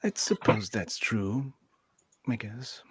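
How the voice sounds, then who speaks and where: A man's voice: lowish voice, slow pace, fairly bright tone, breathy edge, dry recording, heavily acted. A man playing a troubled, thoughtful female starship counselor in an amateur sci-fi radio play, speaking hesitantly. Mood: uneasy, tender.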